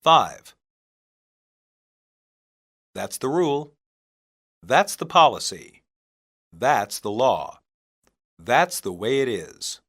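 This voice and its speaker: Male language-lesson reader, mid pitch, animated intonation, deliberate delivery in separate phrases for learners. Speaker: English teacher reading practice phrases. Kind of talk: scripted language-lesson reading.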